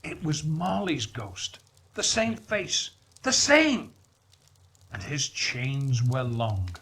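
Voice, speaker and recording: A man reading a story aloud as narrator, with deliberate, expressive phrasing and a dramatic storybook delivery. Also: medium pitch, slightly rough. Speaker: story reader.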